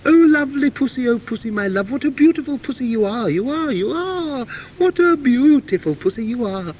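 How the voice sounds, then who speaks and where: A man's voice: very high-pitched, expressive, moderate pace, pitched above the performer's own voice. A man voicing lovestruck storybook animals courting each other in a lilting, adoring sing-song, as in a recited nonsense poem. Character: lovestruck storybook owl and pussycat sweethearts, courting sweetly. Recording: dull hissy cassette recording.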